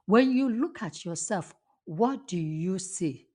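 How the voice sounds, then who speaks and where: A woman's voice: lowish voice, lively intonation, slow pace, dark tone. A woman hosting a motivational talk, telling a personal story and reflecting on it earnestly, often restarting her words. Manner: earnest, with frequent restarts and repetitions. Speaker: motivational podcast host.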